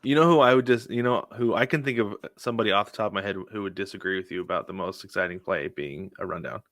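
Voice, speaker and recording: Low-voiced man chatting about sports. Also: quick pace, fairly bright tone, no room sound, clean studio sound. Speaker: sports podcast co-host. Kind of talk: casual baseball chat with anecdotes.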